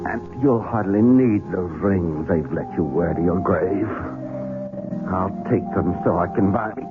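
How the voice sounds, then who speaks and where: A man's voice: low voice, a little slow. An actor playing a scheming, resentful son in his thirties who speaks in a cold, mocking, menacing way. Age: 30s. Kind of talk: 1970s radio drama acting. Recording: tinny old radio broadcast with music.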